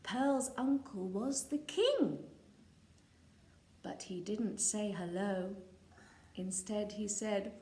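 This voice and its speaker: Female children's storyteller, expressive and dramatic, very unhurried delivery, roomy sound with faint background noise. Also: medium pitch. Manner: expressive, dramatic, talks to young listeners. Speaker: storyteller reading a children's picture book. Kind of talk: animated read-aloud to a live audience.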